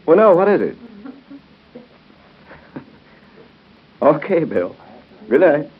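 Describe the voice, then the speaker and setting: An actor playing a busy, good-natured doctor in a 1930s radio drama, conversational and hesitant. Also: male voice, a little high, sing-song, normal speed, slight background noise. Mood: baffled, amused. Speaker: radio soap opera actor. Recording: muffled lo-fi 1930s radio broadcast.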